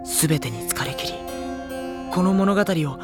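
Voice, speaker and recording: A sensitive high-school boy with a youthful, high voice. He is earnest and anxious, telling his own story as audio drama, with clear music behind him. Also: animated, takes it slowly. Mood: hushed dread. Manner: earnest, introspective. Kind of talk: dramatic first-person narration and dialogue.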